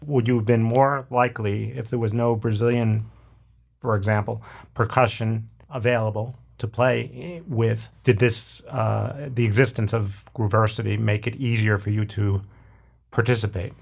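A man hosting an interview, asking slow, hesitant questions. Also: on the low side, fairly even intonation, vocal fry.

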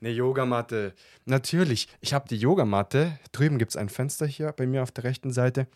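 A man's voice: mid-range voice, moderate pace, darkish tone, no room sound, clean studio sound. A talkative man hosting a casual podcast chat, often breaking into laughter while answering questions. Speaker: podcast host.

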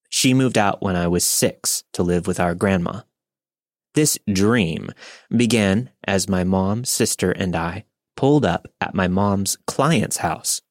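A man hosting a podcast, reading a listener's story aloud in a steady, measured way. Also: lowish voice, no echo, clean studio sound. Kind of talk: scripted narration.

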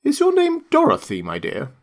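A gentle, motherly little old witch from a storybook, as a male reader puts her on. Her voice sits high above the reader's own and swings widely in pitch. She speaks solemnly and kindly. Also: wildly swooping pitch, normal speed, exaggerated voice. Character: kind little old female good witch.

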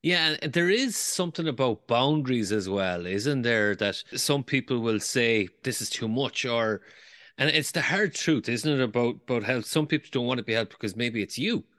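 A man's voice: medium pitch, expressive, moderate pace, fairly bright tone. A man hosting a podcast, giving short affirmations and asking loosely phrased questions. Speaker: podcast host. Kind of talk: conversational interview questions.

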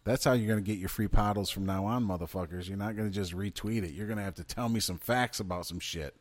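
Deep-voiced excitable man chatting. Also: fast talker, dry recording. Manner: excitable, swearing, riffing on questions. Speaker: podcast co-host. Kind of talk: casual chat.

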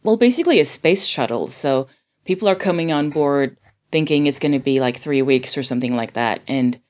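Woman with a low voice, informal podcast-style film chat, thinks out loud and rephrases often. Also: a little fast. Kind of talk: casual film discussion.

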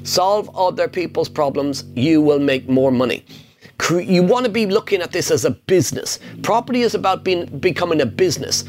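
A man's voice: fairly high pitch, animated, normal speed, a little mellow, faint music behind. A middle-aged man hosting a casual Q&A, answering viewer questions on property investing in an upbeat, emphatic way. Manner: upbeat, emphatic, punchy repetition.

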